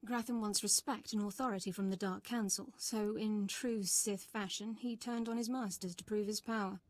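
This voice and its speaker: A woman acts out a weary female military officer from a sci-fi game. She speaks in a brisk, blunt, no-nonsense way at a middle pitch, protective of her troops. The sound is dry and studio-close. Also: steady tone, no echo, close to an everyday voice. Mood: terse, exasperated. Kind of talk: video game voice acting.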